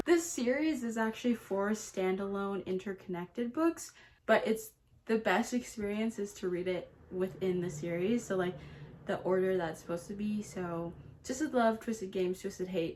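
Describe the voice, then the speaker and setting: A woman with a mid-pitched voice talks casually as a book vlogger. She is gushing and emphatic in how she speaks. She often repeats words to press her point. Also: normal speed, a little mellow, slight background noise. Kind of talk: casual chatty video talk about books.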